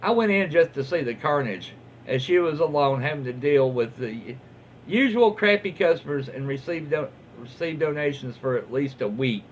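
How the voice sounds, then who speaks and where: Southern US male host, higher-pitched, very lively read-aloud, folksy and loose, slightly muffled recording. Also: normal speed. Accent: Southern American. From southern United States. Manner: folksy, informal, stumbles over words while reading. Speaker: host reading Reddit stories aloud. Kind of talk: casual read-aloud with off-the-cuff commentary. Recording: muffled lo-fi audio, faint background noise.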